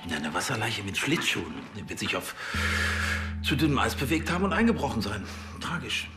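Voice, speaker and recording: A blustering male police chief in a TV crime comedy. He is bossy and exasperated, rattling along with big swings of pitch in a roomy space, tripping over his openings. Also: big pitch swings, a little fast, over music. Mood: dismissive. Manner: hesitant starts, bossy, exclaiming.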